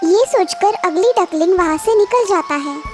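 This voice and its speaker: A woman tells a children's story in a very high, animated voice. She reads as a scripted narrator, with music clearly playing behind her. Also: moderate pace.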